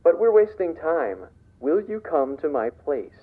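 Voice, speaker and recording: An actor playing an insistent, coolly threatening mystery villain with formal speech, in old radio serial style. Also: male voice, on the high side, sing-song, measured pace. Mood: coolly menacing. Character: menacing, insistent male mystery visitor, smoothly blackmailing villain. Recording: tinny old wire recording playback.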